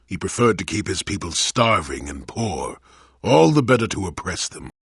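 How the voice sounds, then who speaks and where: A man playing a crotchety, wry old hermit in a video game, with a gravelly, husky voice and a sardonic storyteller's manner. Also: low-pitched, very animated, moderate pace, fairly bright tone, no echo, clean studio sound, heavily acted. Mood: reminiscing. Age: older. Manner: cantankerous, sardonic, rambling storyteller. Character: crotchety old male hermit, former Jedi, wry and weary. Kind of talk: video game character acting.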